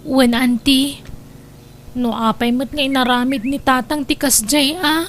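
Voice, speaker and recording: A woman's voice: sits high, steady tone, unhurried, a little hiss or hum. A woman with an Ilocano accent acting an obedient young schoolgirl niece in an audio drama, meek and deferential.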